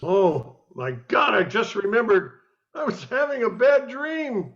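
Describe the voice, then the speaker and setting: A man's voice: sits high, very animated, a little slow, a bit bright, lo-fi sound, exaggerated voice. A man playing a jittery, hapless suspect who is constantly on edge in a comic noir radio play. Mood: flustered.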